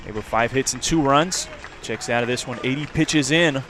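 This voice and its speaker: A man's voice: mid-range voice, moderate pace, fairly bright tone. A man giving live play-by-play commentary as a baseball radio broadcaster. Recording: clear background noise. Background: outdoor ballpark ambience.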